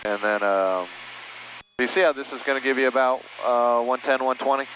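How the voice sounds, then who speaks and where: A man's voice: mid-range voice, steady tone, moderate pace. A man working as a flight instructor, coaching casually over an aircraft headset intercom. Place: small twin-engine plane cockpit in flight. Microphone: aviation headset. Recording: muffled aircraft headset intercom with engine noise.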